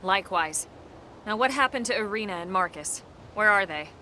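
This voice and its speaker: Commanding, stern colonel. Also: female voice, mid-range voice, expressive, normal speed, sharp tone, slight background noise. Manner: commanding, crisp orders. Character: composed authoritative female colonel, stern and decisive. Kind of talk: video game voice acting.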